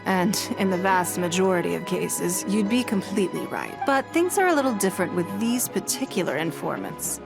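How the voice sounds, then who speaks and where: Composed, low-voiced influential lady. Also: sing-song, a little fast, creaky, hardly any pauses, over music. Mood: composed, assured. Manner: composed, formal, articulate. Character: poised, gracious, wealthy woman of influence, patient with children. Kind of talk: video game voice acting.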